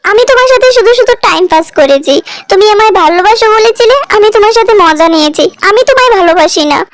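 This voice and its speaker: A performer voicing a greedy, flattering young woman in a cartoon, coy and wheedling, a two-timing girlfriend. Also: extremely high pitch, fast, dry recording. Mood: defensive. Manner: coy, wheedling, dismissive when challenged.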